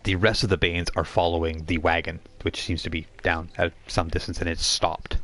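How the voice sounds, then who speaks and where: A man narrating a tabletop role-playing game as game master, describing scenes in a halting, improvised way. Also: deep voice, flat delivery, a bit bright.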